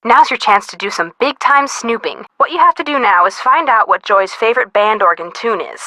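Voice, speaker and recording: Bubbly young woman, game hint-giver, high lively pitch, playful slangy teasing, dry close sound. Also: a little fast, dry recording, poor audio. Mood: breezy. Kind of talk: scripted video game hint dialogue.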